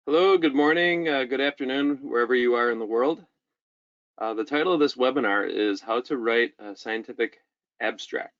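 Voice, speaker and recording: A man giving an informal webinar lecture, speaking haltingly and often repeating words. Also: mid-range voice, a bit bright. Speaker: webinar presenter, journal editor. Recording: dull, band-limited webinar audio.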